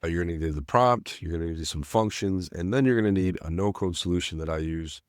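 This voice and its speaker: A middle-aged man with a slightly nasal voice from a cold, explaining a software setup in a loose, rambling way full of "you know" and restarts. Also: sits low, fast, smooth, no echo, studio quality. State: getting over a cold. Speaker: self-storage business owner teaching automation. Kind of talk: screen-share tutorial narration.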